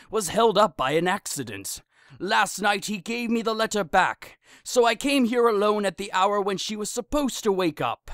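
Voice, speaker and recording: An anguished old holy man played by a male actor confesses in an audio tragedy. His voice sits high for a man, weighed with guilt. The sound is dry and free of room. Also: fairly bright tone, no echo. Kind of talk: modernized Shakespeare audio drama acting.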